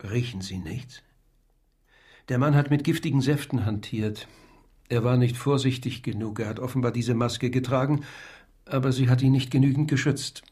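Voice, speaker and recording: An actor playing a sober, learned doctor with a measured, clinical manner in a historical radio crime drama. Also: male voice, mid-range voice, darkish tone, slightly rough, natural voice. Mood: grave, measured. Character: sober, learned male doctor in old Paris.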